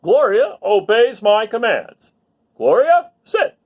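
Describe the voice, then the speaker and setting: A man voicing an earnest police officer in a storybook, giving children safety tips in a loud, deliberate, declaiming way. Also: sits high, sing-song, dull audio, voice pushed up, full character voice. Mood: earnest, commanding.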